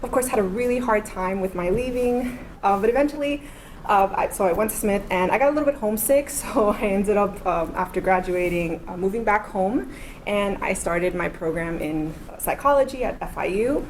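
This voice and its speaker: A woman's voice: medium pitch, moderate pace, a little hiss or hum, poor audio. A woman in her thirties giving a methodical academic lecture. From Miami, United States. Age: 30s. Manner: explanatory, methodical, walks through points in order. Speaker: postdoctoral researcher. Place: lecture room.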